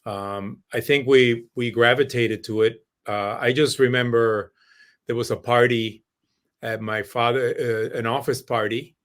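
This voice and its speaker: A man's voice: medium pitch, steady tone, unhurried. Middle-aged man from Mexico, thoughtful. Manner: thoughtful, many fillers and restarts. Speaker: guest musician. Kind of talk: podcast interview.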